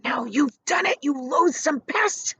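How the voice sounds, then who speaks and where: A woman voicing a timid, gloomy male earthworm who speaks in a hush in a storybook reading. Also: high voice, expressive, slow, dry recording, voice pushed up, full character voice. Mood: scolding. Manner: hushed and fearful.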